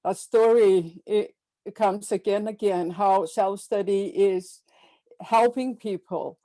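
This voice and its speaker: Woman presenter, mellow tone, webinar slides over video call, halting with repeats. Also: mid-range voice, steady tone, rough recording. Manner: halting, frequent fillers and repeats. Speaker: webinar presenter introducing book chapters.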